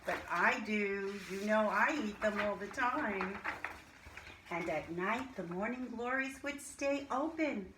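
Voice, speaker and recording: A woman teacher reading and explaining to young children in simple, deliberate language with questions. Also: medium pitch. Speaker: early-grade teacher reading a picture book aloud to children. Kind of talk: storybook read-aloud and children's lesson. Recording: roomy echo, clear background noise.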